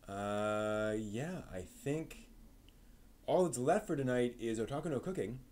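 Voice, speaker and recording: A man's voice: medium pitch, expressive, measured pace, darkish tone. A man hosting a live stream, chatting casually and off the cuff in a rambling way that trails off. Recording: noisy room background.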